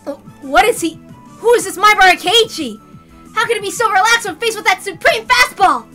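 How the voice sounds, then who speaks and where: A woman's voice: very high-pitched, expressive, brisk, bright, forceful tone, soft background music, voice pushed up, heavily acted. A woman voicing astonished, overdramatic male sports reporters in a story reading, with pompous, breathless hype. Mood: amazed.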